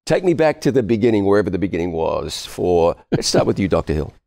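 A male host with a low, mellow voice guides the conversation. His questions are smooth and encouraging, inviting guests to share their stories. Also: very animated, fast. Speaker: interview host. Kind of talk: broadcast interview.

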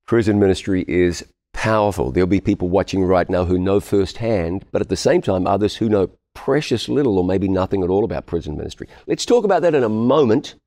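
Mellow low-voiced male interview host. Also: lively intonation, brisk, few pauses. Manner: smooth, encouraging questioning. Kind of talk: broadcast interview.

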